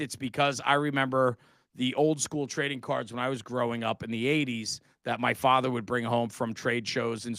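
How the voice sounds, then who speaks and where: A man's voice: medium pitch, pushed and bright, dry recording. A middle-aged man talking casually and passionately on a live stream, with an emphatic, buddy-like manner. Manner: earnest, passionate, emphatic, says "man" and "bro". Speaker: guest, fire service podcaster.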